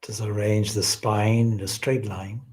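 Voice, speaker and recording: A man leading a guided meditation as a swami, speaking in a gentle, reverent, deliberate way with long pauses. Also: on the low side, level tone.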